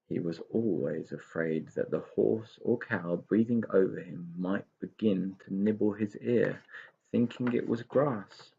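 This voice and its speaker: A man reading a children's story aloud with expressive narration. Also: deep voice, vocal fry, slightly rough, lo-fi sound.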